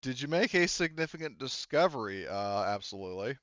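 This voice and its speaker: A man's voice: medium pitch, sing-song, a little fast, a bit bright, no room sound, highs cut off. A man acting as game master in a casual tabletop game debrief, talking loosely and swearing freely.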